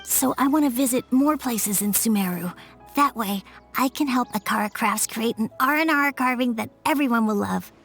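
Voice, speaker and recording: A woman's voice: fairly high pitch, moderate pace, fairly bright tone, faint music behind. A woman playing an earnest young boy. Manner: earnest, cheerful. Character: hopeful young boy artist, eager. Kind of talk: game voice acting.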